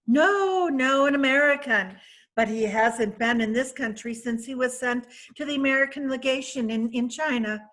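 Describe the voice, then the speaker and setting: A woman's voice: high voice, moderate pace, a bit bright. A woman reading the part of a wealthy, worldly woman proud of her new fiancé, in a chatty, self-satisfied way, for a readers theatre play. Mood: boastful.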